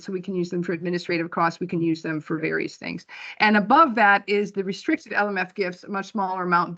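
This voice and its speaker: Woman, program director, practical budget walkthrough at a board meeting; mid pitch, dull lo-fi recording. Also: fairly bright tone. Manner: plain, practical, walks through figures slide by slide. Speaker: program director presenting finances.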